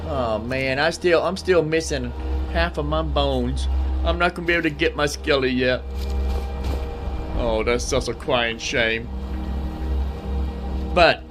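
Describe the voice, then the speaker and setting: A man's voice: medium pitch, a little slow, long pauses, over music. A man giving casual gameplay commentary, muttering asides and questions to himself. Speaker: gaming video host.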